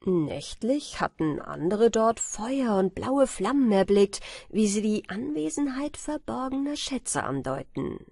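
Lady reading a bedtime storybook, medium pitch, careful measured delivery, no room echo at all. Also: a little mellow, clean studio sound. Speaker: audiobook narrator. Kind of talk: scripted storybook narration for bedtime fairy tales.

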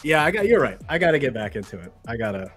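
A man's voice: medium pitch, very animated, fast talker, a bit bright, slightly rough, soft background music. A man co-hosting an interview on a video call, talking casually and enthusiastically in a rambling way. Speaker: co-host. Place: home studio, video call.

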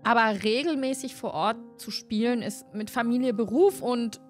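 A woman's voice: on the high side, normal speed. A middle-aged woman hosting a casual chat about tabletop role-playing, speaking into a close desk microphone. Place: indoor room, on a video call. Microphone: close desk microphone with headset. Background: background music.

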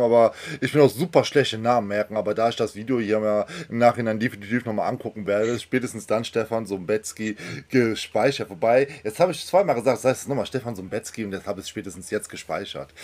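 A man with a medium-pitched voice interviews a guest in a relaxed, chatty style. He is effusive and enthusiastic, speaking like a fan who hosts his own channel. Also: brisk. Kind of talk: casual fan interview chat.

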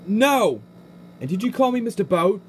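A man voicing a chatty tugboat with a British accent, polite but quick to protest, in an amateur cartoon parody. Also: high-pitched, sing-song, slight background noise. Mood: indignant, protesting. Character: chatty, easily indignant tugboat from America.

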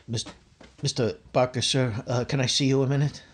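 A man's voice: medium pitch, steady tone, fairly quick, slightly rough, natural voice. A man playing a nervous, bumbling young working-class clerk in a recreated 1950s radio sitcom, stammering and hesitant. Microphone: video call microphone.

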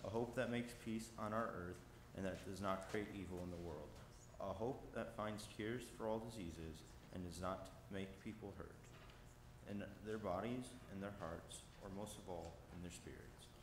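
A young man reading a poem aloud at a church lectern, earnest and measured. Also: sits low. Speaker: youth reader. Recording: echoey church room with noise.

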